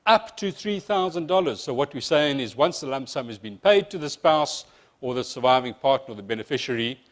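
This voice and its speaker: A man from South Africa with a South African accent and a slight speech impediment, giving a fast-paced, joking insurance seminar presentation to a room of advisers. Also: medium pitch, animated. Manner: fast-talking presenter, jokey asides. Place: seminar room with live audience.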